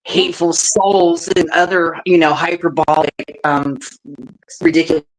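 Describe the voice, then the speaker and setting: A low-pitched woman talks casually on a livestream. She sounds stuffed up and nasal with a cold-like congestion, and she is blunt and sweary in her delivery. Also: fairly even intonation, a little slow, low-quality recording. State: congested, coughing. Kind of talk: casual chat.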